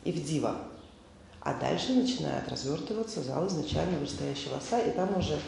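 A man's voice: a little high, animated, quick pace, gravelly, a little mellow. A man leading a spiritual seminar, lecturing informally with fillers and rhetorical questions put to the audience. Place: large indoor hall. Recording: echoey hall, voice from far off, faint noise.

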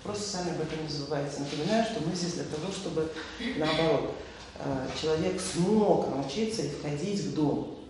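Male seminar leader, gravelly, conversational lecturing, miked from a distance. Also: on the high side, a little fast. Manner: conversational, hesitant fillers, rhetorical questions. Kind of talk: informal lecture quizzing the audience. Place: large indoor hall. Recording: echoey hall, voice from far off, faint noise.

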